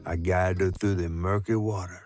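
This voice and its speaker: An actor playing a folksy, cheeky giant catfish storyteller in a video game, with a drawling American Southern accent. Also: male voice, low voice, steady tone, normal speed, music in the background, lightly acted. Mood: storytelling. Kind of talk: video game character acting and storybook narration.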